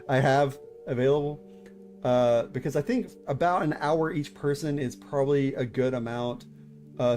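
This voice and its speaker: A man's voice: mid-range voice, dark tone. A man in his thirties hosting a live stream, chatting casually with viewers, hesitant with many fillers and restarts. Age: 30s. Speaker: VTuber streamer hosting writing critiques.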